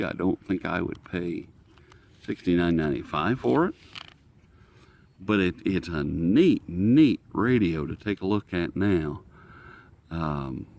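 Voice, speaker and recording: A man's voice: low-pitched, darkish tone. A man hosting a hobby channel, giving a casual, unscripted demonstration in a folksy, rambling way as he muses aloud. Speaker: radio hobbyist channel host. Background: shortwave radio being tuned.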